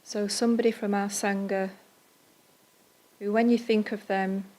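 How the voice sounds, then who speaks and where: A woman guides a meditation in a medium-pitched voice. Her delivery is gentle and very level, with little melodic movement. She lays out short phrases and leaves pauses between them. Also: little pitch movement. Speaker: meditation leader. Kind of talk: guided Buddhist loving-kindness meditation.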